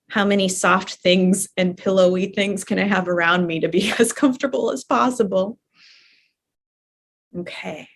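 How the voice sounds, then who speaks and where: A woman teaching a guided relaxation class in a gentle, permissive, conversational way, often repeating her phrases softly. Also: fairly low pitch, vocal fry, slight background noise. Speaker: yoga nidra teacher guiding a live class.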